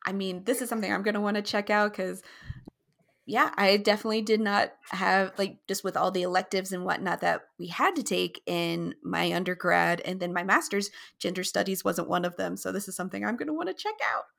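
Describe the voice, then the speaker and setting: A woman's voice: mid-range voice, fairly quick, gravelly, a bit bright. A young woman hosting a podcast, enthusiastic and gushing, with a scratchy voice today. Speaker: podcast host. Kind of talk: casual podcast interview.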